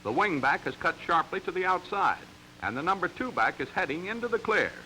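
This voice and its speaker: A man's voice: high-pitched, very animated, fairly quick, sharp tone, a little hiss or hum, rough recording. An American man reading scripted narration for a 1940s sports training film in an emphatic, instructional way, on a vintage soundtrack. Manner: crisp, emphatic, instructional delivery.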